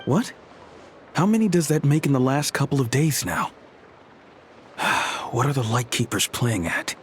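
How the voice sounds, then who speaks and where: A man's voice: medium pitch, very animated, unhurried, slight background noise. A man voicing an opportunistic scavenger in a video game, pushy and chatty. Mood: exasperated. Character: eager, opportunistic male scavenger. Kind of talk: video game character dialogue.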